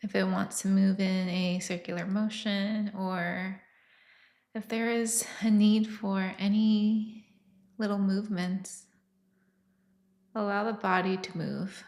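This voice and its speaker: Woman meditation guide, very slow and soothing, even-toned delivery in a roomy, echoing space. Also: medium pitch, gravelly. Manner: soft, unhurried, soothing instruction with long pauses.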